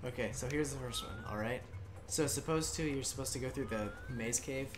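A man casually chatting while playing a video game, enthusiastic and a little hoarse. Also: medium pitch, brisk. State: losing his voice. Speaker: let's play host. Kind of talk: casual gameplay chat. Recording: game music playing loud behind. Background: game music.

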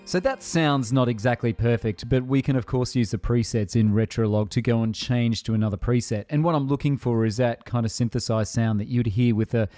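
Male software tutorial narrator, soft-edged warm tone, casual and conversational delivery. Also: medium pitch, brisk, hardly any pauses, dry recording, faint music behind. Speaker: tutorial presenter.

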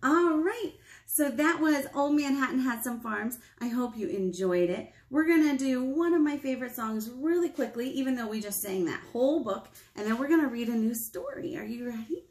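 A woman's voice: high voice, expressive, moderate pace, darkish tone. A woman in her thirties, a children's librarian leading storytime, speaking directly to preschoolers with questions and simple explanations. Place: small indoor room at a library.

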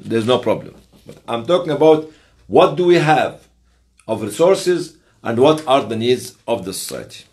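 Animated older man lecturing, high-pitched voice. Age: 60s. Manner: emphatic, halting phrases with rhetorical questions. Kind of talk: explanatory lecture to camera. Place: small office, indoors. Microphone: camera or phone on the desk, a short distance away.

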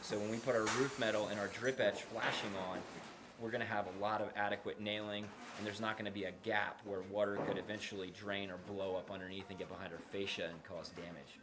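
A man's voice: lowish voice, level tone, fast. A middle-aged North American man giving an informal, practical how-to explanation as a builder, in a plain-spoken way. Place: open timber-frame building site. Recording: clear background noise on an open site.

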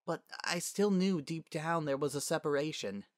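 A man's voice: a little high, normal speed, dry recording, clean studio sound, pitched above the performer's own voice, lightly acted. A man voicing a feisty, wounded young unicorn girl in a narrated fantasy story. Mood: soft, wistful.

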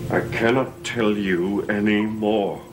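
An actor playing a brooding, fatalistic gentleman in a gothic soap opera, solemn, weary and theatrical. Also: fairly low pitch, steady tone, slow pace. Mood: resigned, brooding. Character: brooding, fatalistic cursed gentleman resigned to his fate. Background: loud dramatic music.

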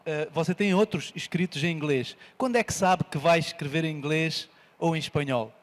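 A man's voice: fairly high pitch, moderate pace. Man interviewing onstage, long reverb. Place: large festival stage.

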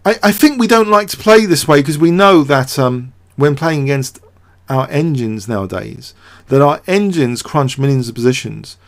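A man's voice: mid-range voice, animated, moderate pace, few pauses. A man giving off-the-cuff game commentary as a show host, hesitant and thinking aloud with repeated words.

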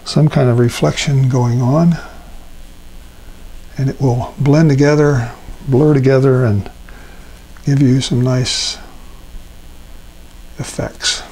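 Gravelly older man teaching painting. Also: mid-range voice, takes it slowly, long pauses, poor audio. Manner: relaxed, thinking aloud with many ums. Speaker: painting instructor. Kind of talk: live painting demonstration. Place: art studio at a painting table.

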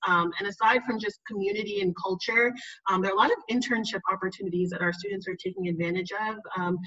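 A woman's voice: medium pitch, bright, forceful tone. A woman from New York City, a college admissions officer, presenting enthusiastically on a video call panel with frequent ums. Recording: distant, dull video call audio.

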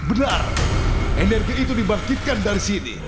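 A man voicing an angry, menacing villain boss in a dubbed children's cartoon, with theatrical, drawn-out threats. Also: high voice, sing-song. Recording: echoey, loud music underneath.

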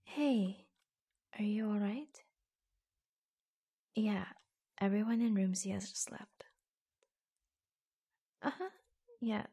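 Slow, breathy, creaky-voiced caring office assistant played by a woman. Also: medium pitch. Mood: intimate, caring. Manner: hushed, gentle, hesitant pauses. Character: attentive, reassuring female office assistant, secretly affectionate. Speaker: ASMR roleplay performer. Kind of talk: ASMR roleplay, soft-spoken with breathy whispers. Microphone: close microphone.

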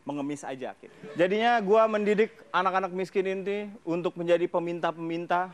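A man's voice: high voice, brisk. A man doing a slangy, self-mocking stand-up routine on a TV stage and acting out little scenes. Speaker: stand-up comedian. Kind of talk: stand-up comedy monologue with acted bits. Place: large TV studio stage with audience. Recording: TV studio with crowd laughter and noise.

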